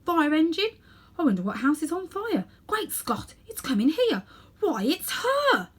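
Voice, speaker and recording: A woman voicing an anxious, excitable storybook schoolboy who exclaims and questions himself. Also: very high-pitched, a little slow, pitched above the performer's own voice, exaggerated voice. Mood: startled, excited.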